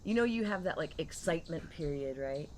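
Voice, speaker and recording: A woman in her thirties or forties co-hosting a casual talk show with relaxed, conversational banter. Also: low-pitched, a little fast. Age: 30s or 40s. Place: screened porch with open greenery outside. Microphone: shared tabletop condenser microphone. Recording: clear outdoor ambient noise.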